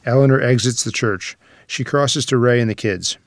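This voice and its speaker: Male narrator, mid-pitched, reading scripted stage directions, dry recording. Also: moderate pace, no echo.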